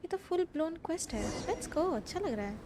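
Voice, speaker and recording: High-voiced Indian woman streaming games. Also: lively intonation, rapid-fire, background noise. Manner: mixes Hindi and English. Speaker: game streamer. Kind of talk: casual livestream chat and gameplay reactions.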